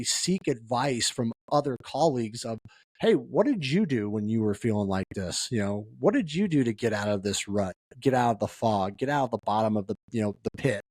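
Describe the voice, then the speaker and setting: A man's voice: medium pitch, a little mellow, no echo. A man hosting a conversational podcast interview as a peer-support advocate, speaking earnestly and with emphasis.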